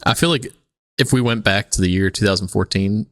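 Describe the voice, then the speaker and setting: A man's voice: on the low side, a little fast, a bit bright, dry recording, clean studio sound. A man co-hosting a casual podcast, joking and chiming in with playful, teasing banter. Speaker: podcast co-host.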